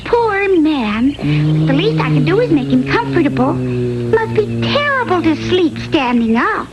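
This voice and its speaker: A woman's voice: high voice, wildly swooping pitch, measured pace, loud music behind the voice, full character voice, poor audio. A woman playing a vain, sunny, chatty young fairy-tale maiden in a classic cartoon, with a gushing sing-song manner. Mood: dismayed.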